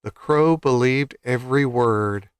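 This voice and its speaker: A man's voice: medium pitch, measured pace, smooth, no room sound, studio quality. A man reading scripted children's stories as a storyteller.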